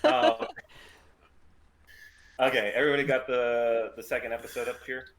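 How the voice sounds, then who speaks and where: A man's voice: mid-range voice, big pitch swings, normal speed, gravelly, low-quality recording. A man casually running an informal group table read and chatting with the readers.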